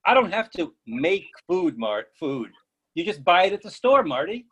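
A man's voice: sits high, very animated, moderate pace, fairly bright tone, breathy edge, exaggerated voice. A man acting an eager, oblivious friend with an insistent, earnest manner in a readers theatre comedy. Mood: defensive. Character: eager, oblivious male friend pushing food, stubbornly cheerful.

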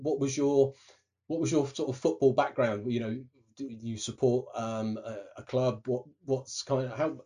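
A man's voice: mid-range voice, warm tone. A man hosting a football podcast, asking questions casually with many fillers and repeated words. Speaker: podcast host.

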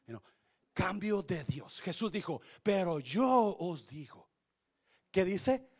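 A male pastor with a Spanish accent, preaching a sermon that switches between Spanish and English and swings from quiet to shouting. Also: high-pitched, big pitch swings, slow. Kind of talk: bilingual sermon.

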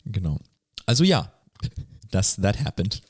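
A man's voice: lowish voice, sing-song, unhurried, breathy edge. A man co-hosting a podcast in informal, casual chat, dropping the odd English phrase. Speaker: podcast co-host.

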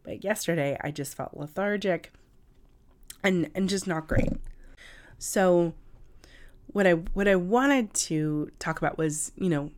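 A woman podcast host talking casually and informally on her own, her voice raspy and hoarse from a cold, in a halting way. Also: lowish voice, darkish tone. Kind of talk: casual solo podcast talk. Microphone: cough drops in mouth, podcast recording.

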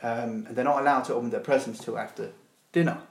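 A middle-aged Danish man with a Danish accent speaks as a podcast guest in an easygoing, dryly funny way. His pitch sits in an ordinary middle range. The room around him rings with a noticeable echo. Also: fairly even intonation, fast talker. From Denmark. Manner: easygoing, explains things with dry humour. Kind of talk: casual chat.

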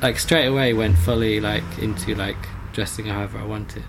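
Man, gravelly creak, casual reflective interview talk, halting and rambling, faint background noise. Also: on the low side, fairly even intonation. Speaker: interview guest.

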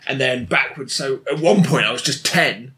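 A man's voice: medium pitch, bright, forceful tone. A man in his thirties joking in a casual chat, playful and absurd. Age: 30s. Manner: playful, absurd, quick jokes.

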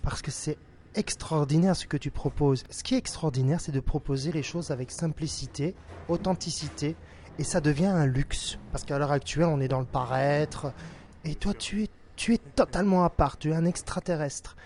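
A male interviewer with a slightly higher, mellow voice. He speaks with effusive praise for his guest before asking each question. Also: moderate pace, a little hiss or hum. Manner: effusive, flattering. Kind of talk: interview host.

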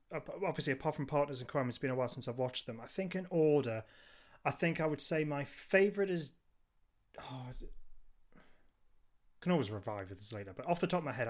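A man hosting a podcast, chatting casually and reading out messages from listeners. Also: mid-range voice.

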